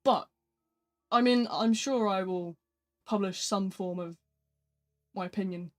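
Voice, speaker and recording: A gaming streamer chatting casually during a live stream, answering viewer messages in a flat speaking voice. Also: mid-range voice, unhurried, faint music behind.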